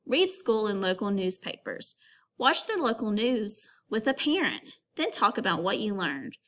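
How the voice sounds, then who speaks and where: A woman teacher reading an informational text aloud to young children, pausing to give instructions and put questions to them. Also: mid-range voice, big pitch swings, a bit bright.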